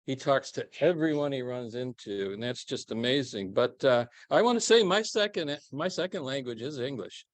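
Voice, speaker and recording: A man's voice: mid-range voice, expressive, normal speed, few pauses, no echo. An older man speaking on a panel as a former minister, in a rambling, self-deprecating way. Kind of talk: panel discussion. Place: event hall.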